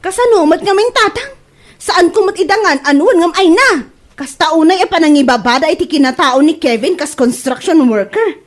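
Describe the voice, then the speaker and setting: Woman acting a very high-pitched, indignant Filipino daughter. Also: expressive, normal speed. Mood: offended. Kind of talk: Ilocano comedy radio drama acting.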